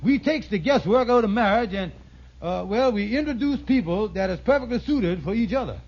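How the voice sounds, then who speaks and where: An actor playing a scheming middle-aged hustler in an old radio sitcom, with wheedling sales patter and grandiose misused words. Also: male voice, high-pitched, lively intonation, a little fast, darkish tone. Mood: smooth patter. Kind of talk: 1940s-50s radio sitcom acting. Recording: noisy, muffled vintage radio recording.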